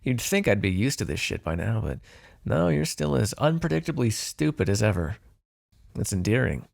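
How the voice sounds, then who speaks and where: A man's voice: medium pitch, big pitch swings, moderate pace, vocal fry, no echo, studio quality, full character voice. A man playing a smug, teasing vampire in an intimate ASMR roleplay, sardonic and flirtatious, possessive but fond. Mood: teasing, amused. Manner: sardonic, flirtatious, swearing, sighing.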